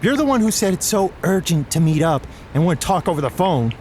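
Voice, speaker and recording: A man's voice: high-pitched, big pitch swings, brisk, a little mellow, slight background noise. An actor playing a sarcastic, down-on-his-luck young man in an audio drama, grumbling and hesitant. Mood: annoyed, sarcastic. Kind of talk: audio drama acting, naturalistic dialogue.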